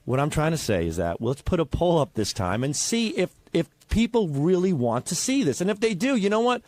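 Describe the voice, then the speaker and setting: A man's voice: a little high, big pitch swings, fairly quick, darkish tone, no room sound. A man who works on a radio show, arguing off the cuff in a defensive, profane way with stammered repetitions. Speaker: radio show staff member and performer. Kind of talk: unscripted group argument on a talk show.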